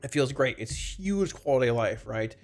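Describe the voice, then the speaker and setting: A man with a congested, slightly raspy voice from a cold, giving casual, rambling, explanatory commentary on a video game to his viewers. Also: medium pitch, animated, normal speed. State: congested, under the weather with a cold. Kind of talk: informal gaming feedback commentary to viewers. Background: background music.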